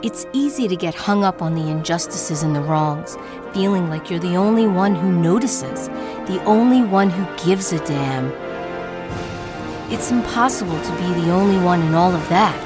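A woman playing an introspective artist in a poetic dramatic monologue, speaking with deliberate, theatrical phrasing. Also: lowish voice, over music, lightly acted. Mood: earnest. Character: introspective woman artist baring her thoughts, by turns tender and defiant.

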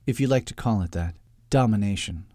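A man voicing a calculating, self-satisfied lawyer in a comic story, measured, coaxing and businesslike. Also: lowish voice, dark tone, no room sound, studio quality, natural voice. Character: calculating, smooth-talking male lawyer, unflappable and self-satisfied.

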